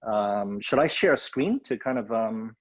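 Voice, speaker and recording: A man's voice: lowish voice, lively intonation, measured pace. A man from Hong Kong giving a guest talk on a video call, speaking loosely and conversationally with many fillers. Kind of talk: webinar presentation. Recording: dull lo-fi video call audio.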